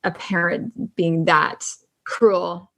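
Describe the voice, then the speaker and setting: A woman guest on a podcast, an actress, chatting casually in an informal, halting way with lots of filler likes. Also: on the low side, steady tone, fairly bright tone. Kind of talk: casual chat.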